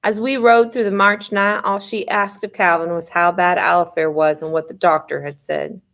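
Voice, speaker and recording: A woman narrating a first-person historical novel as an audiobook storyteller, with expressive reading. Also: lowish voice, normal speed. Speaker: reader of an audiobook chapter.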